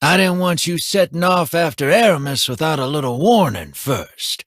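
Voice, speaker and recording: A husky, wry old hand. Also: male voice, on the high side, lively intonation, moderate pace, a bit bright, dry recording, studio quality. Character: wry, seasoned male veteran, teasing and streetwise. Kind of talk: video game dialogue.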